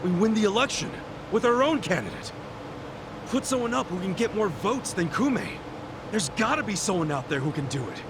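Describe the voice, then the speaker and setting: A man voicing a brash, warm-hearted, impulsive and loyal ex-yakuza in a video game dub. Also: sits high, lively intonation, moderate pace, noisy background. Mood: eager. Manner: informal, blunt, eager.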